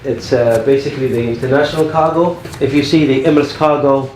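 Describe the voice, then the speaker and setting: A man giving an explanatory facility tour as head of air traffic control, in a halting delivery. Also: mid-range voice, a little mellow. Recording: echoey, distant mic, faint background noise.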